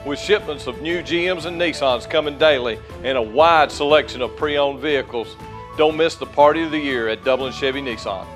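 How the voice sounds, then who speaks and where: A man's voice: medium pitch, moderate pace. A man working as a commercial announcer, reading an upbeat scripted car dealership advertisement. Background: background music.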